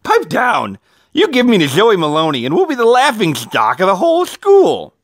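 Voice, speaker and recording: A storyteller voicing a bossy, scornful paper Valentine heart in a loud, dramatic storybook style. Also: medium pitch, wildly swooping pitch, normal speed, no room sound, studio quality, pitched above the performer's own voice. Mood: bossy, dismissive.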